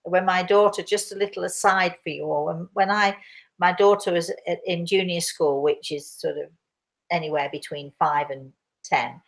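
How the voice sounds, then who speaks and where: A middle-aged woman, a host with a croaky voice, chatting casually and rambling with many restarts as she answers viewer questions. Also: fairly low pitch, steady tone, moderate pace. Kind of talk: casual live chat.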